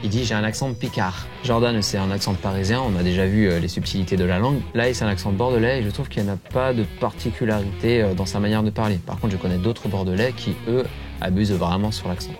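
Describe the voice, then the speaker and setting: Fast-talking man over guitar. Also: fairly low pitch. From Var, southern France. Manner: chatty, imitates how others pronounce words. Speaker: video creator. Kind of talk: casual explanatory talk to viewers.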